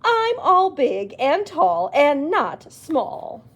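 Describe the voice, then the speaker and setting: A woman's voice: high voice, very animated, very slow, heavily acted. A woman voicing a tiny, vain, boastful king in a storybook, pompous and exclamatory. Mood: gleeful boasting.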